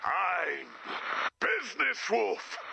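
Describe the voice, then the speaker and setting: A man's voice: sits high, very animated, drawn-out words, gravelly, pushed and bright, husky, full character voice. A man voicing a game's director turned werewolf executive, pompously talking in menacing corporate jargon. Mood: frantic, transforming. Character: director turning into a corporate-minded werewolf businessman. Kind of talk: video game voice acting.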